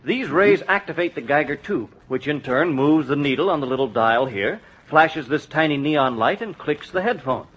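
An actor playing a polite store clerk who explains earnestly and patiently, in 1950s radio comedy style. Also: male voice, a little high, expressive, moderate pace, hardly any pauses, fairly bright tone, breathy edge. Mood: helpful, earnest. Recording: dull, faintly noisy vintage radio recording.

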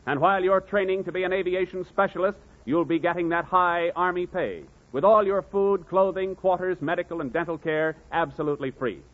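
A man with a fairly high voice delivers a brisk, persuasive recruiting pitch on a 1940s radio show. The sound is thin and tinny, like an old telephone line. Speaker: announcer.

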